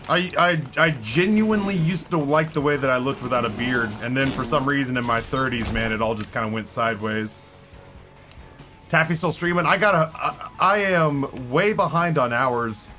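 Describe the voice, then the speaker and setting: A man in his thirties streaming and commenting on a game in a rambling, self-mocking way, his voice hoarse after hours of talking. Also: mid-range voice, normal speed, music in the background. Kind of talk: live gameplay commentary. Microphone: headset with headphones.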